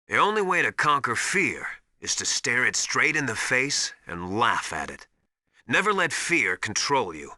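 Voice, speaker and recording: A stern veteran military instructor from a video game, with a hard, very sharp and forceful voice. His delivery is authoritative and deliberate, swinging widely in pitch to hammer home each piece of advice. The sound is dry and close. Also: no room sound, exaggerated voice. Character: stern veteran soldier mentor, tough drill-master advising an operative.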